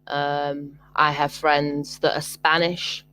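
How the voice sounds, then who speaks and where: Young British woman, online tutor, slightly low pitch, hesitant casual delivery over a video call. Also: level tone, slow, pushed and bright, smooth. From Britain. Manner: hesitant, many ums and restarts.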